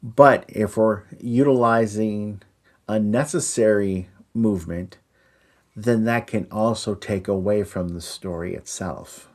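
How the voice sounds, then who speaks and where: A man co-hosting an instructional discussion on storytelling, explaining deliberately and speaking directly to his listeners. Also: on the low side, darkish tone. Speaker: podcast co-host and Christmas performer.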